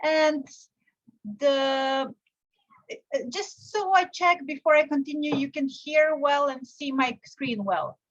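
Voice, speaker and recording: A young woman from Serbia giving a live online software tutorial, explaining step by step with frequent fillers and restarts. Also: high voice, slow pace, dull audio. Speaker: teacher presenting a software tutorial. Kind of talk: live tutorial with screen share.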